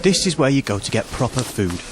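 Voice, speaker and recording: A man's voice: medium pitch, very animated, fairly quick, very noisy, close to an everyday voice. An actor playing Robert, a needy, awkward young man who rambles nervously.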